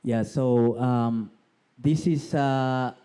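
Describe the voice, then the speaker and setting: A man from South Sudan with an East African accent, giving a presentation as a conference speaker in a halting, explanatory way with frequent pauses. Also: mid-range voice, fairly even intonation, mellow, clean tone, low-quality recording. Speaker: conference speaker, NGO co-founder. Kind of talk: prepared presentation.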